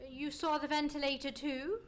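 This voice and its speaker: An actor playing a commanding, precise gentleman detective in an old-time radio play, with formal, emphatic diction. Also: high-pitched, little pitch movement, moderate pace, natural voice. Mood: musing, confiding. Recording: live stage radio play recording.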